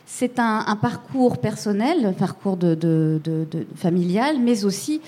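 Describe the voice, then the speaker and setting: Mellow-voiced woman interviewer. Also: medium pitch, animated, measured pace. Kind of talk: radio interview questions.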